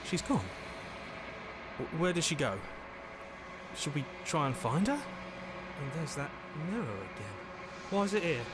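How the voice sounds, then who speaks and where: A man's voice: fairly high pitch, very animated, measured pace, pauses often, background noise. A man voicing a worried, regretful inner voice in a visual novel game, speaking with emotive hesitation. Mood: bewildered. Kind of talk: dramatic visual novel voice acting.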